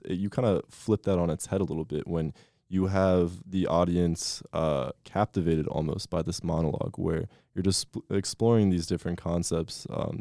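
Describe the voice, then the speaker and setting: Young guy hosting a podcast, real low gravelly voice, easygoing, lots of ums. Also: no echo, studio quality. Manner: easygoing, with frequent fillers and a relaxed pace. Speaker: podcast host. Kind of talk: casual interview hosting.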